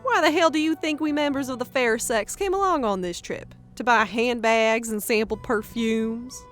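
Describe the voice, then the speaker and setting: A woman's voice: fairly high pitch, moderate pace, a bit bright, natural voice. A woman playing a wry, frank female soldier in an audio story. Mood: sardonic. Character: wry, sardonic female commando volunteer. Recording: music under the voice.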